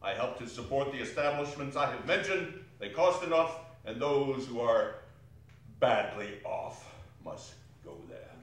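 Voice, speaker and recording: A man's voice: mid-range voice, sing-song, a little slow. A man playing a cold, miserly old businessman with a curt, scornful, grumbling manner in a theatrical dramatic reading. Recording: very echoey hall, distant microphone.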